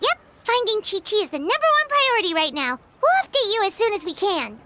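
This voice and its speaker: A woman voicing a tiny, excitable girl fairy in a video game, with a squeaky childlike voice and a chatty, exclamatory manner. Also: fairly quick, heavy background noise. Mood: businesslike. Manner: childlike, speaks of self in third person, exclamatory.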